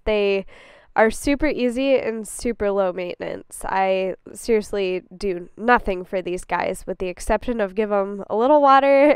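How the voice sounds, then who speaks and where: A woman's voice: fairly high pitch, clean tone, dry recording, clean studio sound. A young woman talking casually as a gardening vlogger, chatty and upbeat, a little stuffy from a cold. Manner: chatty and upbeat, laughs easily. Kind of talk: casual vlog talk to camera. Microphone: camera on a tripod.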